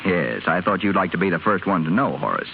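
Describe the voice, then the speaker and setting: A man's voice: low-pitched, big pitch swings, quick pace, gravelly, full character voice. An actor playing a spoiled, restless young rich man in a hospital, sardonic and wisecracking, in 1930s radio drama style. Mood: flippant. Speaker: hospital patient. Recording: tinny, faintly hissy 1930s radio broadcast.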